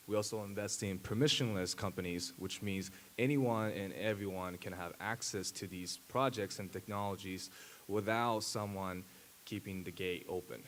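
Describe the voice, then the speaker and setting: A man in his thirties, a native Japanese speaker, giving a conference talk at a podium with a handheld mic, pausing often with fillers and restarts. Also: sits low, a little hiss or hum. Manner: halting, many fillers and restarts. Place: large dark auditorium stage.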